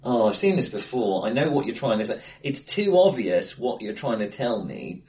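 A man co-hosting a film discussion in casual chat, hesitant and often rewording himself, recorded in a bright, echoey room. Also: medium pitch, expressive, normal speed. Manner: hesitant, self-correcting, rambling. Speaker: film podcast co-host. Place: indoors in an acoustically bright room.

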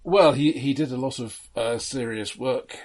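A man co-hosting a casual film discussion, explaining things in a measured, halting way. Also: medium pitch, a little mellow. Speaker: podcast co-host.

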